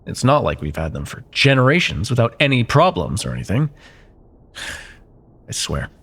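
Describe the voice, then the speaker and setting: A man's voice: medium pitch, sing-song, moderate pace, a bit bright, slightly rough, exaggerated voice. A man playing an arrogant, possessive corporate CEO ex-lover in a cyberpunk ASMR roleplay, sardonic and menacing, with condescending pet names. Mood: frustrated, persuasive. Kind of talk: ASMR roleplay, dramatic monologue.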